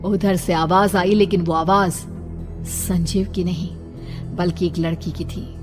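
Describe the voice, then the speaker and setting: A woman's voice: lowish voice, fairly even intonation, measured pace. A woman narrating a scripted horror story. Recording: loud music under the voice.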